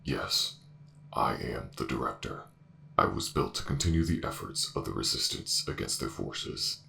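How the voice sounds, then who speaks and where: A man voicing a formal, terse artificial intelligence war commander in a sci-fi audio roleplay, speaking in clipped, measured announcements. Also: extremely low pitch, lively intonation, vocal fry, bright, forceful tone, a little hiss or hum, pitched below the performer's own voice, heavily acted. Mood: solemn, urgent.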